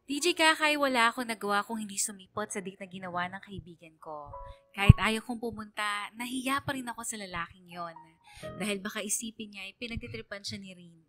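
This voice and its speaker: A woman with a Filipino accent narrating a personal story in the first person for radio. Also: mid-range voice.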